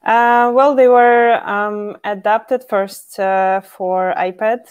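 A woman's voice: mid-range voice, fairly even intonation, slow pace, clear, resonant tone. A young woman from Ukraine, a guest developer, chatting in a friendly easygoing way on a video call from home. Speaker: podcast guest, Python developer. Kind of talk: casual interview chat. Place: home room, indoors.

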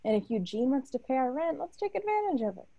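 A woman's voice: high-pitched, big pitch swings, fast, vocal fry, dark tone, exaggerated voice. Woman reading a sly scheming ex-con. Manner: sly, wheedling. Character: scheming woman ex-convict diner worker. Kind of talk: comedy table read.

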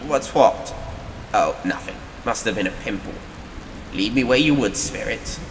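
A man's voice: lowish voice, animated, measured pace. A man playing a grumpy, uneasy old miser in an audio play. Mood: subdued. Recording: echoey, reverberant, noisy audio play mix.

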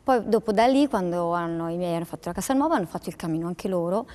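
A woman in her forties, a guest on a studio talk show, explaining her views thoughtfully with pauses. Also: lowish voice, lively intonation, dark tone. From Italy.

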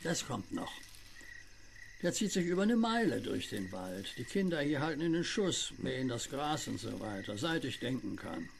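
Gravelly folksy old country neighbour. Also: male voice, medium pitch, sing-song, normal speed, a little hiss or hum. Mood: folksy, relaxed. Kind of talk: German radio play acting.

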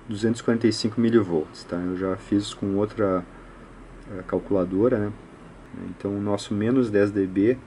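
A man with a Brazilian accent giving an informal hands-on technical tutorial, speaking haltingly with frequent short check-in tags. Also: fairly low pitch, warm tone, slightly rough. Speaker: electronics repair channel host. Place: indoor home electronics workbench.

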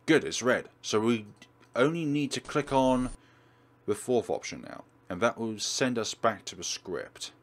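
A man's voice: medium pitch, expressive, unhurried. A man hosting a let's play, giving instructional, step-by-step game walkthrough commentary.

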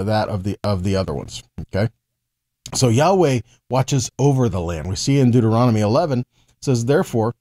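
A man in his forties teaches scripture in a soft-edged, mellow voice at a middle pitch. He moves between reading verses and conversational explanation, stressing key words and putting rhetorical questions to the listener. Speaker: Bible teacher.

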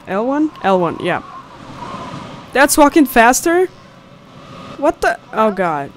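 A young woman gives casual, reactive gameplay commentary, swearing freely. Her pace is slow and she speaks close to a studio mic, with clear game ambience behind her. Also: on the high side, big pitch swings, a little hiss or hum. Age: young adult. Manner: reactive, exclamatory, profanity-laced. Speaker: gaming video host. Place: indoors at a home recording setup. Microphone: close studio condenser mic. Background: horror game ambience.

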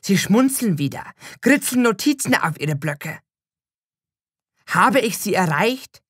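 A woman's voice: medium pitch, big pitch swings, slow pace, a bit bright, breathy edge, no echo, clean studio sound. A woman reading a scripted first-person narration as a storyteller.

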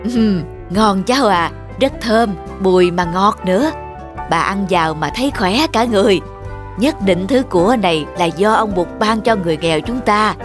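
A woman voicing a gentle, frail old peasant grandmother in an animated fairy tale, speaking tenderly and haltingly. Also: medium pitch, close to an everyday voice. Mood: tender, grateful. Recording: music under the voice.

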